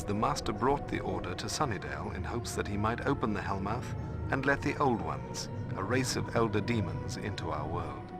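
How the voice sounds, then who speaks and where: An actor playing a learned, solemn male mentor in a video game, narrating lore in a measured, formal way. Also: lowish voice, vocal fry. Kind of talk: scripted storytelling narration.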